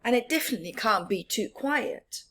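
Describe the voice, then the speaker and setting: Woman putting on an eager, high-pitched noisy young fox. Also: animated, normal speed, fairly bright tone. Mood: eager, pleading.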